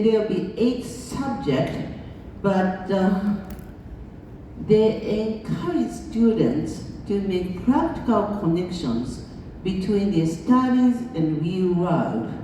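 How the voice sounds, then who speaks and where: A Japanese woman, speaking English as a second language, gives a slow, chatty conference lecture and laughs along as she talks. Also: medium pitch, mellow, slight background noise. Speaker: international school board chair giving a lecture. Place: large conference hall. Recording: echoey hall, voice heard from far off.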